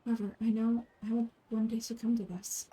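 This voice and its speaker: A woman plays a frail young woman from a fantasy game. The voice is mellow and fairly high, with soft, measured delivery. The temper is gentle, wistful and accepting, over background music. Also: flat delivery, lightly acted, rough recording. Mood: resigned, tender.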